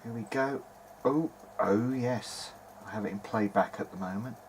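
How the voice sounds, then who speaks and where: A man's voice: lowish voice, normal speed, a little hiss or hum. A man informally talking through a hands-on repair in a video diary, hesitant and half muttering to himself as he works. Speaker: vintage hi-fi hobbyist narrating own repair video.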